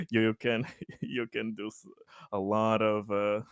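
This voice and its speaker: A man's voice: on the low side, takes it slowly, clean tone, dry recording, studio quality. A man chatting and joking casually as a player in a tabletop roleplaying game.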